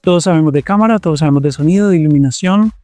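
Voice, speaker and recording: A man from Colombia, a TV producer answering interview questions in a relaxed, thoughtful way and repeating words as he searches for them. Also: a little high, racing, no room sound, soft background music.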